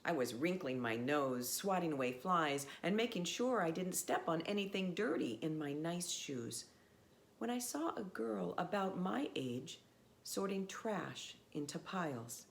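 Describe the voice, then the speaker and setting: Low female voice, children's storybook narration, measured and expressive, pauses between phrases, slight background noise. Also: gravelly. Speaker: pastor reading a children's story. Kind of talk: storybook read-aloud for children.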